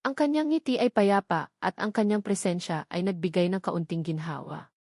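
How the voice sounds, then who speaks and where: A woman's voice: on the low side, normal speed, darkish tone, no room sound. Dry, close-up Filipino woman narrating drama. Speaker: story narrator. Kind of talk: dramatic first-person scripted narration of a love story.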